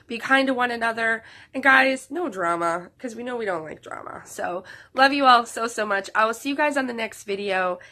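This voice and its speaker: A woman's voice: a little high, normal speed, few pauses, pushed and bright. Sick, nasal woman vlogging. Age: 30s. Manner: rambling, with many fillers and restarts. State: sick, losing her voice. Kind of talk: casual chat to viewers.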